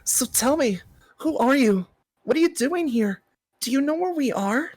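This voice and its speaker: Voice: sits high, animated, moderate pace, darkish tone, no echo, voice pushed up. A performer voicing a frightened, lost young amnesiac heroine in a visual novel, pleading and exclaiming. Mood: anxious, questioning. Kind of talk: dramatic visual novel character reading.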